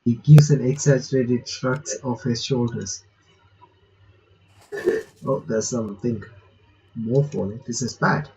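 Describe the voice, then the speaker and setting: A man with a soft, dark voice plays through a visual novel for his channel. He reads the text aloud and reacts informally between lines. The recording is slightly dull, with faint noise underneath. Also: medium pitch, measured pace, pauses often. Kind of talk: casual playthrough commentary, reading game text aloud. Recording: muffled lo-fi mic with faint noise.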